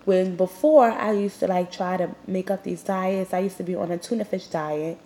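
A woman's voice: on the low side, fairly even intonation, normal speed, mellow. Brief: young woman host, nasal and sniffling with a cold, blunt and emphatic, speaking straight to the listener. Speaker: podcast host. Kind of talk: candid motivational monologue.

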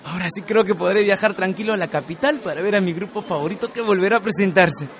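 An actor with a Peruvian accent playing a cheeky, self-satisfied young son who works as a delivery rider in a radio soap. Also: male voice, high voice, fast talker. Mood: cheeky, boastful. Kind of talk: educational radio soap acting.

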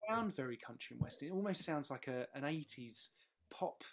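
A man's voice: mid-range voice, animated, fairly quick, a crowd in the background. A man speaking as a guest in a casual music chat, enthusiastic and often repeating words as he finds his way. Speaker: guest choosing and discussing songs; pedal steel guitar player.

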